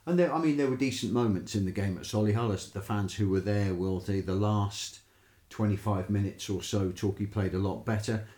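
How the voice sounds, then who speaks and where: A man's voice: on the low side, fairly quick, mellow. A man hosting a football podcast, chatting casually about the game. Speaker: podcast host. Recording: roomy, echoey voice pickup.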